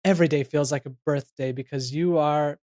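A man's voice: fairly high pitch, fairly even intonation, a little fast, a little mellow, no echo, clean studio sound. A man narrating a scripted comedic audio drama in a theatrical manner. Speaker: narrator and performer.

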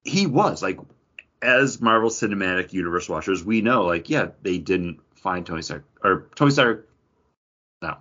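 A man's voice: low-pitched, expressive, moderate pace, sharp tone, highs cut off. A man co-hosting a podcast, talking casually and with opinions about comic book movies. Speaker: podcast co-host.

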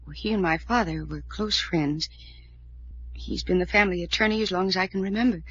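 A troubled young heiress in an old radio play. She is earnest and hesitant, with a slightly husky voice. The muffled vintage recording dulls her words. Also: on the low side, brisk, fairly bright tone, no room sound. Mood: uneasy, confiding. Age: young adult. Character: troubled, anxious twenty-four-year-old heiress, earnest and resigned. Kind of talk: 1940s radio drama acting.

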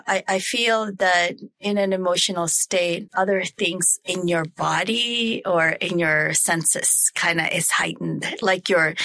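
A woman's voice: mid-range voice, sharp tone, dry recording. A woman in her forties from the Philippines, a native Tagalog speaker, answering interview questions thoughtfully with many hesitations. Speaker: guest.

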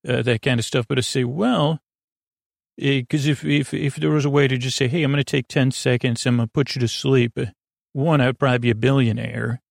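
A man with a gravelly voice hosting a sleep podcast in a rambling, goofy monologue, halting and interrupting himself as he wanders off on digressions. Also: medium pitch, steady tone, dry recording, studio quality. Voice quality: creaky, gravelly. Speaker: podcast host.

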